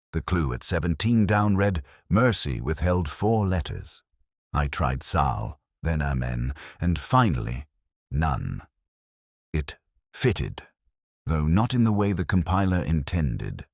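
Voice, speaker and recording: Very deep, husky male narrator. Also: no room sound, clean studio sound. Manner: measured, wry, reflective, formal. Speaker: first-person narrator of a detective story. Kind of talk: scripted literary narration.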